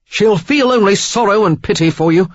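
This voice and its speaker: A woman's voice: mid-range voice, moderate pace, voice pushed up, lightly acted. A woman narrator voicing a stern, reproachful young man in an audiobook, speaking in clipped, chiding tones. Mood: stern, outraged. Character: stern, prudish young man, easily outraged. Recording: slightly dull lo-fi recording.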